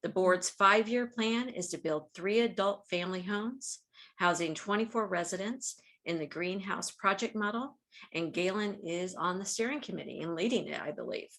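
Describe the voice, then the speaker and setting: A woman's voice: medium pitch, expressive, moderate pace, a bit bright. A woman in her fifties speaking as a podcast host, conducting an interview. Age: 50s.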